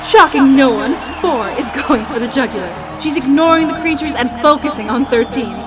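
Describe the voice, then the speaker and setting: Gleeful high-voiced actress as an arena announcer. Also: female voice, brisk, lightly acted. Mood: excited, relishing. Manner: showy, gleeful, theatrical. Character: gleeful, bloodthirsty female arena announcer, showy host of death games. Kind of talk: excited fight commentary. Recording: loud music and crowd behind.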